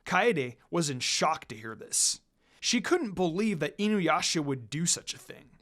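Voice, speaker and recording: A man's voice: a little high, sing-song, a little slow, a bit bright. A man reading fan fiction aloud as a dramatic narrator.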